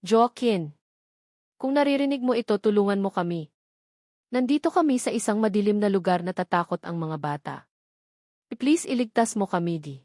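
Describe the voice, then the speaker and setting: A woman's voice: medium pitch, animated, normal speed, pauses often, warm tone, no echo, clean studio sound, lightly acted. A Filipino woman narrator voicing a frightened but protective young mother held captive in a dramatic story. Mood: frightened, pleading. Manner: pleading, reassuring.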